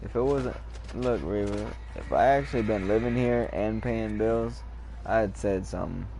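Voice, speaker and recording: A man chatting casually while playing an online game, rambling in a calm, lecturing way, repeating words and swearing freely. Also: fairly low pitch, normal speed, vocal fry, a little hiss or hum, rough recording. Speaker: gamer chatting in party.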